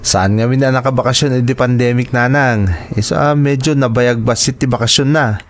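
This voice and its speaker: Casting: male actor as devoted young Filipino suitor for radio drama, earnest and reassuring, medium pitch, dry recording. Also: fairly even intonation, moderate pace, dry recording, natural voice. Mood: cheerful, polite. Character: devoted young man in love, persuading his mother. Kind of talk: Ilocano audio drama acting.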